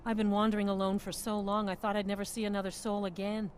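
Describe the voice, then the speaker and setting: A woman's voice: medium pitch, little pitch movement, quick pace, a little hiss or hum, close to an everyday voice. A woman voicing a frightened, lost woman who escaped from bandits, pleading with breathless relief in a video game. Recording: game audio with loud music.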